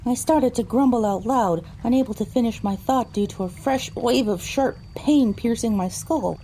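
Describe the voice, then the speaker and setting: A woman playing a pony who narrates her own adventure in a measured, descriptive storytelling style. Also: on the high side, dark tone, slight background noise, natural voice, poor audio. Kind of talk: scripted first-person story narration.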